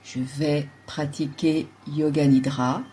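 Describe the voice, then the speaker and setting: Female yoga nidra guide. Low, soft-edged husky voice, unhurried calm delivery of measured meditation instructions. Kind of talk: slow guided relaxation meditation.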